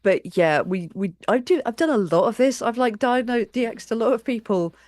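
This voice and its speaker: An interviewer talking in a casual, conversational way, with an animated, emphatic and rambling manner. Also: medium pitch, normal speed, dry recording, studio quality.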